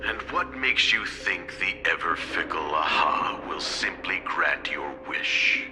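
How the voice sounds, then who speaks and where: A man playing a shrewd, composed corporate executive in a video game, suave and deliberate, with music behind him. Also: deep voice, expressive. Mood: cool, wry. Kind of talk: scripted video game voice acting.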